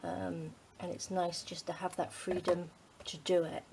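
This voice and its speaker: Chatty middle-aged Englishwoman, low voice. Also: little pitch movement, a little slow, slight background noise. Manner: rambling, many ums, drops to a soft near-whisper while working. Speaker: craft channel host. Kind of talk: casual chat while crafting.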